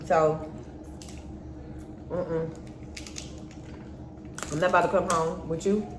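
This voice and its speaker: A middle-aged woman with a slightly low voice shares a personal story in a casual, candid way. She slips into remembered dialogue as she talks. The room is echoey. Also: steady tone, takes it slowly. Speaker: mother co-hosting a storytime vlog with her daughter. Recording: roomy echo, faint background noise.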